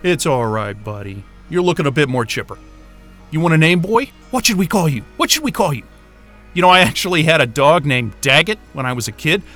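An actor playing an exasperated, sarcastic but soft-hearted astrophysicist in a naturalistic science-fiction audio drama. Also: male voice, a little high, sing-song, fairly quick, soft background music. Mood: warm, musing. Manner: sarcastic, muttering, casual swearing.

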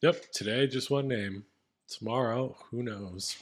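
A man's voice: mid-range voice, a little slow. Man co-hosting a comedy podcast. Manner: profane, joking. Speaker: podcast co-host. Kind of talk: casual comedic banter.

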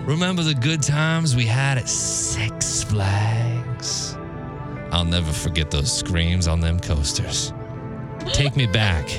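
A man playing a lovesick ex-husband reading a pleading letter in a sultry, drawn-out, melodramatic way. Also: low-pitched, voice pushed down.

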